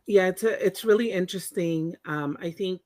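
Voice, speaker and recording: A woman's voice: on the low side, normal speed, dark tone, dry recording. Reflective woman from Tonga in a dry room. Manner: reflective, frequent restarts and self-corrections. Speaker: interview guest. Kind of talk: candid personal storytelling.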